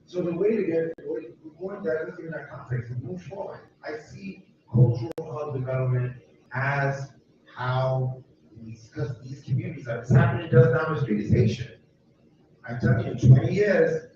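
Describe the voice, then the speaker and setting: A man's voice: on the high side, slight background noise. A man leading a group through a neighborhood, talking in an emphatic, rambling way and repeating himself. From United States. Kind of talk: informal talk to a walking group. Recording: distant, echoey, muffled with loud ambience.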